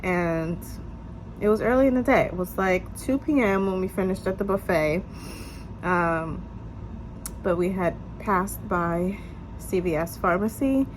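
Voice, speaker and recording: A young woman talks straight to her camera in a chatty story-time vlog, her voice a little nasal and congested. She fills pauses with "um" and "you guys", and her pitch sits in the middle. Faint room ambience hums under her voice. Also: noisy background. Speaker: vlogger. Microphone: camera on tripod.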